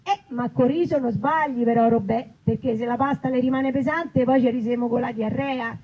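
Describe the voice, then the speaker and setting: A woman voicing a doting, fussing Roman grandmother with drawn-out baby talk, in a live reading. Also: a little high, fairly even intonation, slightly rough. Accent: Roman Italian. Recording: muffled live recording with noise.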